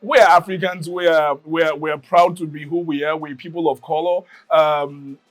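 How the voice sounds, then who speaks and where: A man from Nigeria giving a reflective personal testimony, often pausing to search for words. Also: on the high side, steady tone, dry recording. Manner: reflective, many fillers and restarts. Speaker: interviewee.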